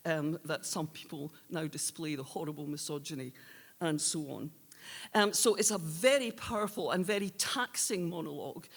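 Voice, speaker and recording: A woman presenting awards in an unscripted, halting, long-winded and earnest way. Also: lowish voice. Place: indoor awards hall. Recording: reverberant hall.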